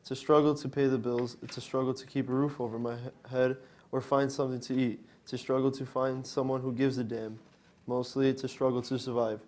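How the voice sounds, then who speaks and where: A man's voice: mid-range voice, flat delivery, brisk, darkish tone, natural voice. A young man performing a scripted readers theater monologue as a student reader. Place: college library event. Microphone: worn microphone.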